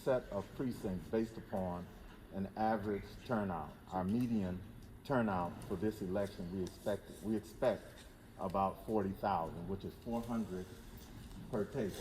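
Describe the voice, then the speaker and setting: A man working as an election official, calmly and methodically explaining how ballots are processed. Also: deep voice, moderate pace. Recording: noisy room recording.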